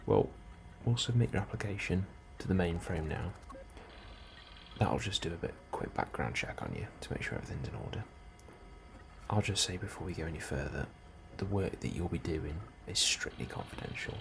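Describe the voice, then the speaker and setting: A man doing a soft, breathy ASMR role-play as an unhurried, politely understated officer interviewing a newcomer. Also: low-pitched. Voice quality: soft, breathy, often near whisper. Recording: steady ambient noise behind the voice. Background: computer and ambience sounds.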